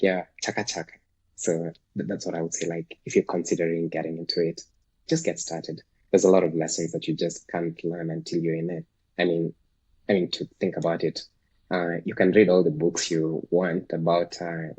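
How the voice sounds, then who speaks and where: A man from Kenya, Luo his first language, talks in a low, very raspy voice. He reflects thoughtfully, stopping and restarting with fillers. The sound is dry and close, with no room around it. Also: a little mellow, no echo, low-quality recording. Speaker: guest, startup founder. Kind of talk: reflective podcast interview.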